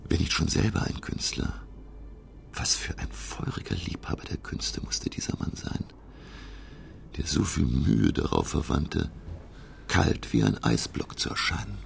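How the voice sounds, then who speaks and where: Learned professor, radio drama narration, gravelly bass, expressive range, measured, full of wonder. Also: male voice, whispered, full character voice. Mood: reflective wonder. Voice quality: very deep, gravelly. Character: learned male professor, curious guest narrating his undersea adventure. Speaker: narrator and character.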